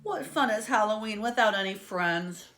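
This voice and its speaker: A woman voicing a lonely, excitable pig inventor from a children's storybook, with dramatic exclamations. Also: mid-range voice, moderate pace, slight background noise, natural voice. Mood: sad, lonely.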